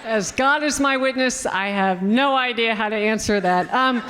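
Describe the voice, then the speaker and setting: An older woman from upstate New York, answering fan questions at a live event, talking in an animated, profane way that cuts itself off mid-word. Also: a little high, moderate pace, a crowd in the background. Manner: animated, profane, self-interrupting. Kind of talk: live Q&A with audience. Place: event hall before an audience. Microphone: handheld microphone. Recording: reverberant hall with faint noise.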